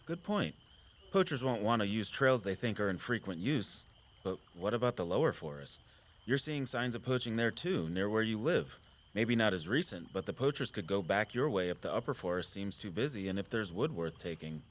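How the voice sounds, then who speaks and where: An actor playing a gentle, sentimental middle-aged father and conservationist in an audio drama, talking in a thoughtful, considerate way. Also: fairly low pitch, expressive, normal speed, lightly acted. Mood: thoughtful, cautious. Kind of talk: naturalistic audio drama acting.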